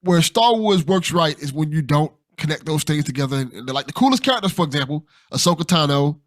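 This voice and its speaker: A man's voice: fairly high pitch, lively intonation, brisk. A man hosting a podcast, talking in a casual, conversational style. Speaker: podcast host.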